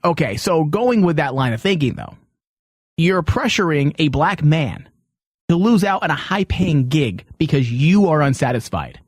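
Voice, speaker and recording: A man's voice: a little high, sing-song, normal speed, breathy edge, no room sound, clean studio sound. A man hosting a talk show, chatting and telling stories casually in an opinionated way with some casual swearing. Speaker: host. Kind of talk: casual chat, storytelling.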